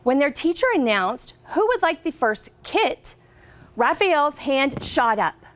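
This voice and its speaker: An American woman reading a picture book aloud to children as a guest reader, expressively, pausing to ask her listeners questions. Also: sits high, sing-song, a bit bright. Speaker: guest reader, company representative.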